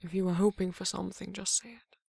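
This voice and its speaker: A performer voicing a tender, courtly vampire lover in an ASMR romance roleplay, breathy and often whispering, with slow, soothing, formal speech. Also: medium pitch, little pitch movement, a little mellow, no room sound, clean studio sound, heavily acted. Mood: gentle, coaxing.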